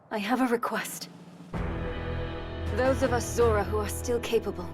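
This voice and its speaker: An actress playing a dignified, determined young warrior princess in a game cutscene, with formal, earnest delivery. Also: female voice, mid-range voice, close to an everyday voice. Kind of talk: video game cutscene acting.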